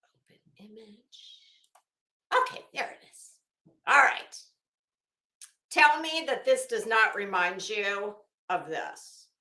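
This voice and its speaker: A woman chats to her livestream in a bright, forceful voice with a roomy echo around it. She takes her time, rambling, breaking off to search for a word, then quoting herself with dramatic emphasis. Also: medium pitch, big pitch swings, slow, pauses often. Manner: rambling, self-interrupting, searching for words. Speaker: livestream host. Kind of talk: casual livestream chat. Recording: roomy, echoing room sound.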